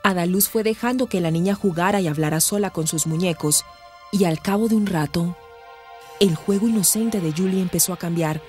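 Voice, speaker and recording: A woman's voice: fairly low pitch, fairly quick, a little mellow, lightly acted. A woman narrating a serious radio soap opera from a script. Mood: serious storytelling. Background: music.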